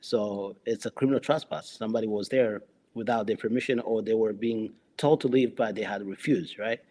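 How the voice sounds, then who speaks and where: A man's voice: on the low side, mellow. A man who speaks English as a second language, a police officer telling his experiences in a conversational interview, often restarting and filling with "you know". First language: not English. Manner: halting, many restarts and "you know" fillers.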